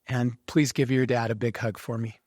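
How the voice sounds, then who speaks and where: A man's voice: mid-range voice, level tone, moderate pace, darkish tone. A male podcast host asks questions and answers his guest with plain encouragement. His voice sits in a middle range, and the recording is dry, with no room echo. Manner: friendly, affirming. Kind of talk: interview.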